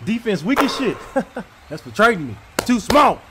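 A man's voice: high voice, sing-song, normal speed, heavy background noise. A man voicing a cocky basketball player who talks trash with slangy swearing in a comic dub. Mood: cocky, taunting. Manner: taunting, profane, slangy. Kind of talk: comic dubbing of basketball footage.

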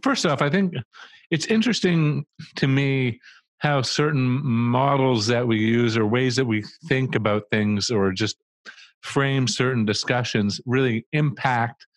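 A man's voice: mid-range voice, a little slow, no room sound. Middle-aged guy on a studio mic, thoughtful, talks things through, dry close sound. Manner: thoughtful, explains with restarts and asides. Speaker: marketing agency co-founder, guest. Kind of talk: conversational interview answers. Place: small studio room with a red wall. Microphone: studio mic on a boom arm with headphones.

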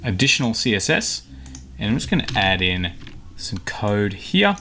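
Guy with lowish voice doing a laid-back screen tutorial, thinking out loud, slight noise, keyboard clicks. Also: very animated, moderate pace. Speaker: tutorial host. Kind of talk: casual software screen tutorial. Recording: clicks and typing, faint background noise. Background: keyboard typing and mouse clicks.

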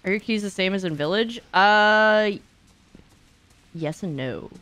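A woman's voice: mid-range voice, a little slow, a bit bright, clear, resonant tone, no room sound. A young woman streamer chatting casually and candidly as she plays, swearing freely and thinking aloud into a close microphone. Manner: candid, sweary, talking through thoughts aloud. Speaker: video game streamer and speedrunner. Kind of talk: casual livestream gameplay chat. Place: small home streaming room, indoors.

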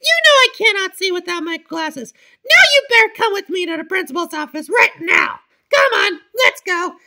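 Woman putting on a super high squeaky cartoon voice, whiny n indignant, stumbles while complaining. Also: expressive, moderate pace, pushed and bright, exaggerated voice. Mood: bossy, cross. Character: indignant, tattling schoolteacher and wronged young bear pupil. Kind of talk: amateur role-played children's cartoon story.

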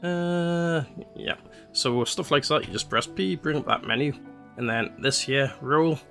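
A man's voice: a little high, measured pace, creaky, faint music behind. A man hosting a let's play, chatting casually about his gameplay in a rambling way with many fillers. His nose is slightly congested from a cold. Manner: relaxed, rambling, with frequent "uh" fillers.

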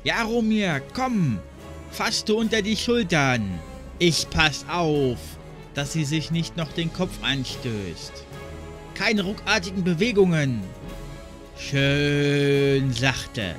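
A man playing a steady, helpful young river boatman in a fantasy audio drama, giving short reassuring orders. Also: on the high side, takes it slowly, over music. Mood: calm, focused. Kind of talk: fan-made fantasy audio drama acting.

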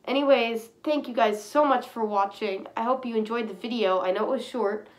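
A woman talks casually straight into a webcam in a small indoor room, her voice thick and stuffy from a cold. She rambles along, keeps clearing her throat, and is picked up close by the built-in mic. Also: on the high side, fairly quick, low-quality recording. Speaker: fursuit vlogger. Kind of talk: casual chat to camera.

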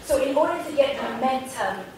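A woman's voice: sits high, animated, normal speed, crowd noise. A woman from Somalia making a spontaneous comment as an audience member in a large lecture hall. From Somalia, moved to America young. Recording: echoey hall, distant mic, some noise.

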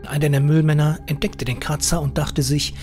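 A man reading a short horror story as narrator, measured and dramatic. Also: fairly high pitch, little pitch movement. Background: eerie horror music.

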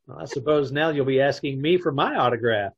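A man's voice: medium pitch, a little fast, gravelly, husky, highs cut off. A man acting an exasperated, sarcastic husband who also narrates in an old-time radio comedy, recorded over a video call. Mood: wry. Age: middle-aged. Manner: dry, incredulous, blustering. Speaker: amateur radio troupe actor. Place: home room, on a video call.

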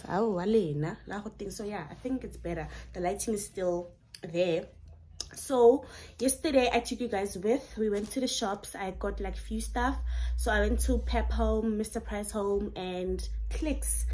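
A woman's voice: medium pitch, a little mellow, slight background noise. Chatty South African woman vlogging. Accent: South African, Setswana. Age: young adult. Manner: chatty, enthusiastic, code-switching. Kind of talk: casual haul vlog, mixing English and Setswana. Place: bedroom at home, indoors.

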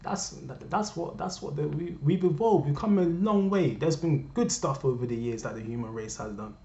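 A man's voice: fairly high pitch, moderate pace, a little mellow. A young man from Cameroon talking earnestly to his viewers from his living room, repeating himself for emphasis. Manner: impassioned, repetitive, addresses viewers directly. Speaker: vlogger. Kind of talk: earnest personal rant to camera. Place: living room at home, indoors. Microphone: webcam at desk. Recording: roomy, dull lo-fi webcam audio.

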